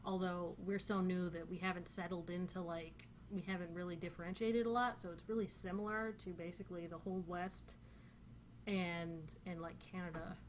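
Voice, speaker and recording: A woman's voice: lowish voice, little pitch movement, normal speed, a little hiss or hum. A woman with a central Northern Californian accent chatting casually through a questionnaire, rambling with many fillers. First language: English. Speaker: vlogger. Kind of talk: casual chat answering a dialect questionnaire.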